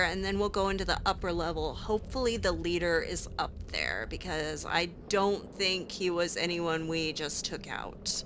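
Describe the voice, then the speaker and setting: A woman's voice: fairly low pitch, a bit bright, a little hiss or hum. A woman giving in-character commentary as she plays a video game, with wry, exasperated asides. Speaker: gaming video host playing in character.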